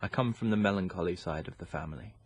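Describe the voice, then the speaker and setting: A man's voice: low voice, fast, husky. A man playing a terse, melancholy young soldier in a period radio drama. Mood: bitter, gloomy. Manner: terse, gloomy.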